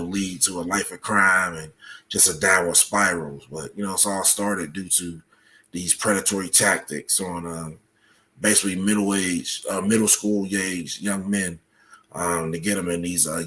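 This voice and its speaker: Man, fairly low pitch, interview guest, explanatory, halting delivery. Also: pushed and bright. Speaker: podcast guest, researcher.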